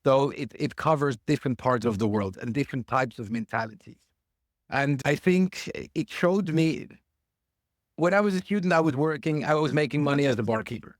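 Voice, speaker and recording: A man speaks as a podcast guest over a remote call, thoughtful and a little halting, stopping often to rephrase. His voice sits at an ordinary male pitch, and the sound is dry with no room echo. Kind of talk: casual interview chat.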